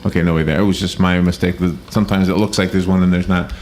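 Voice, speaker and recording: Guy hosting a talk radio show, fairly low voice, chatty, kinda stumbles and repeats himself. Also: flat delivery, darkish tone. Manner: halting, repeats words. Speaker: radio host. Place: radio studio.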